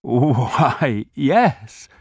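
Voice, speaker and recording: A man's voice: mid-range voice, big pitch swings, drawn-out words, breathy edge, dry recording, studio quality, pitched above the performer's own voice, exaggerated voice. A man voicing an anxious, kindly old male corner grocer who fusses with old-fashioned politeness. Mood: fretful.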